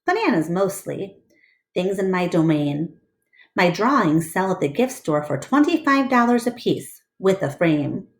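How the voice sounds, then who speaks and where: A woman voicing a proud, thoughtful adult male gorilla in a storybook, measured and reflective. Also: on the low side, sing-song, echoey room. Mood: matter-of-fact.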